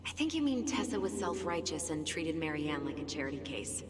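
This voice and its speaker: A woman's voice: deep voice, very animated, brisk, echoey room, in a large hall. A woman playing a guarded, skeptical young woman in a naturalistic video game cutscene. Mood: cynical, subdued.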